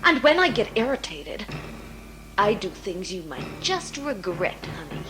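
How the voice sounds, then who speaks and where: An actress playing a vain, sugary would-be star with a menacing streak in a sci-fi audio drama. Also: female voice, medium pitch, very animated, unhurried, music in the background, low-quality recording. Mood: indignant, threatening. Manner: sugary, self-dramatising, honey-calling.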